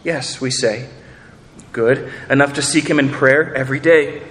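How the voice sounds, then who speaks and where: Earnest pastor preaching in an echoing hall. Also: male voice, mid-range voice, steady tone, a little slow, fairly bright tone. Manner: earnest, rhetorical questions, repeats words. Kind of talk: sermon.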